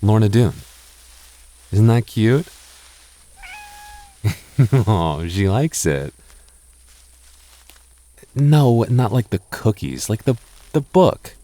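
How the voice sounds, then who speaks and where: A man playing a deep-voiced, stammering goth boyfriend. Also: animated, very slow, long pauses, mellow. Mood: playful. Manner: stammering, self-teasing, laughs often. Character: goofy, doting young goth boyfriend, easily rattled. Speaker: voice actor. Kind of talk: ASMR boyfriend roleplay.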